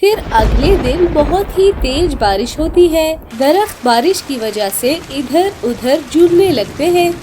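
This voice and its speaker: A woman's voice: high voice, lively intonation, a little slow, few pauses. A woman narrating a scripted children's story. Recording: clear background noise with animal, bird sounds.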